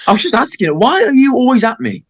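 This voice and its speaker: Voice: medium pitch, very animated, fast. An actor playing an eager, touchy teenage boy sidekick in a script table read. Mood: defensive.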